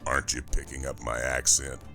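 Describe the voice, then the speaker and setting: A man's voice: rumbling low, completely flat delivery, brisk, pushed and bright, faint music behind, full character voice. A man voicing a stoic, smug captive military operative in an audiobook, speaking in a dry, terse manner.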